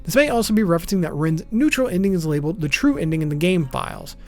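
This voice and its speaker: A man's voice: high voice, lively intonation, fast talker. A man narrating informal scripted video commentary in a casual way, with wry asides. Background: background music.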